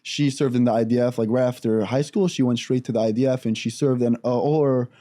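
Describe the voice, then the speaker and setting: Fast-talking young New man. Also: mid-range voice. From Israel, raised on Long Island. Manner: rapid, animated storytelling with many fillers. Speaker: guest comedian. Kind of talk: casual podcast chat.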